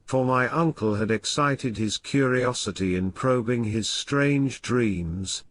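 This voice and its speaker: A man with a medium-pitched voice narrates an old weird tale as an audiobook. His delivery is formal and measured, shaped like literary prose, and his phrases settle downward as each sentence closes. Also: fairly bright tone, dry recording. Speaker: audiobook reader. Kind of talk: scripted narration of a classic horror tale.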